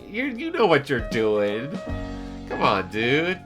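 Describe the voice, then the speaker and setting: Animated, slightly stuffed-up man streaming over music. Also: mid-range voice, takes it slowly. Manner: excitable, playful, rambling. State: slightly stuffy nose from congestion. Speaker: live streamer and reader. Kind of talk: casual chat with live stream viewers. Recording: music behind the voice. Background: background music with guitar.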